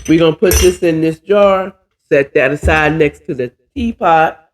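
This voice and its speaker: A hoarse, stuffy-nosed man hosting a casual chat while cooking, drawling and calling his listeners "child". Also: a little high, normal speed. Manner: chatty, drawling, says "child" a lot. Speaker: podcast host.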